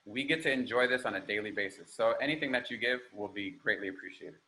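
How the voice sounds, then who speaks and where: A man's voice: on the low side, quick pace, bright, forceful tone, low-quality recording. A man on a video-call panel, an organizer who talks about a fundraiser in an earnest, appreciative way. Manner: earnest, appreciative, loose with fillers. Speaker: capoeira teacher, fundraising organizer. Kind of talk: casual panel discussion.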